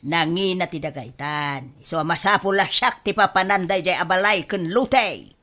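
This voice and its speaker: A woman's voice: lowish voice, measured pace, a bit bright. A woman playing a grasping family daughter in a radio drama, with an Ilocano accent. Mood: insistent. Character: grasping, argumentative eldest daughter, sweetly grateful when given money.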